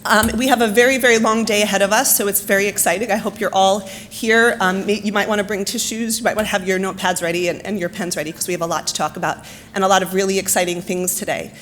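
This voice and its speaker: A woman with a hoarse, fading voice, giving friendly opening remarks at a conference with lots of ums. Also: mid-range voice, steady tone, quick pace, a bit bright, slight background noise. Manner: chatty, many ums, friendly housekeeping tone. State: hoarse, losing her voice. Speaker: foundation science officer introducing the keynote. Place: hotel ballroom.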